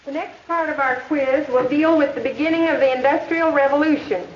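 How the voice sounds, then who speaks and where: A woman playing a strict, weary American high school teacher in a 1950s educational film, speaking formally and firmly. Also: fairly quick, close to an everyday voice. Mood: instructing, patient. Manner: firm, formal, instructing. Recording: muffled, echoey, noisy 1950s film soundtrack.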